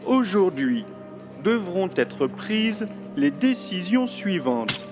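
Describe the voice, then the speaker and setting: An actor playing a solemn, formal herald presiding over a royal council in a fantasy audio drama. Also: male voice, high-pitched, sing-song, takes it slowly, over music, heavily acted. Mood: ceremonious. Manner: formal, ceremonious proclamation.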